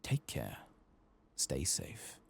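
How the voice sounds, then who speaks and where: A soft-spoken man giving unhurried ASMR gameplay commentary, murmuring to himself and sometimes dropping toward a whisper, close to the microphone. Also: slight background noise. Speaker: gaming streamer.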